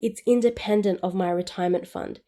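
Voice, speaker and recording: Mellow-voiced woman podcast host in her twenties. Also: medium pitch, fairly even intonation, fairly quick. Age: 20s. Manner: upbeat, friendly, explanatory. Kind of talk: scripted solo podcast on personal finance.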